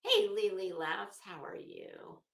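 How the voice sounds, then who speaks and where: A woman's voice: lowish voice, huge pitch swings, slow pace, gravelly. A middle-aged woman chatting casually as a livestream host, greeting viewers with teasing, salty banter. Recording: echoey room.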